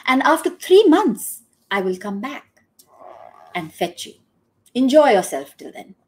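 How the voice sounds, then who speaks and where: A woman voicing a calm male guru in a told folktale, speaking gently and reassuringly. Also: fairly high pitch, unhurried, voice pushed up.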